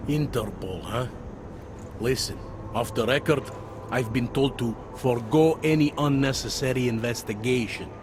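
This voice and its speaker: An actor voicing a world-weary, pragmatic police detective in a video game, with a wry, insinuating manner. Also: male voice, mid-range voice, moderate pace, noisy background, close to an everyday voice. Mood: confiding, sly. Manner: wry, insinuating, tags questions with "eh" and "huh". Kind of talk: video game cutscene dialogue.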